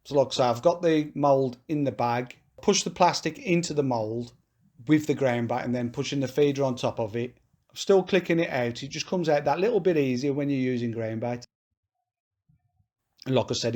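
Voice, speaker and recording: A man's voice: medium pitch, normal speed, a little mellow. Casting note: male match angler, stuffy from a cold, mid-range voice, plain matter-of-fact running commentary. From England. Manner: matter-of-fact, talks through his tactics step by step. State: congested from a cold. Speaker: match angler vlogging his match. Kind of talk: casual explanatory commentary while fishing. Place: outdoors at a fishing lake.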